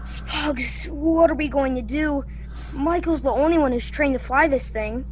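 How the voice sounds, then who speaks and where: Young woman as a high-voiced bossy boy navigator. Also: measured pace, slight background noise. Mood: worried. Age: young adult. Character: brainy, bossy teenage navigator boy, urgent and exacting. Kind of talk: radio play acting.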